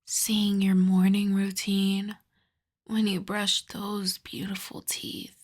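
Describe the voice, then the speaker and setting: A woman voicing a seductive, lovestruck vampire in a soft, breathy, intimate ASMR whisper, coaxing and doting. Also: medium pitch, monotone, slow. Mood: lovestruck confiding.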